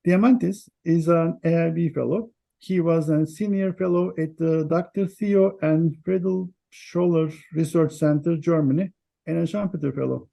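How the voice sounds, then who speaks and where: A man's voice: on the high side, steady tone, normal speed, gravelly, warm tone. A man hosting an interview, giving a prepared introduction and putting questions to his guest. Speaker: interviewer, host.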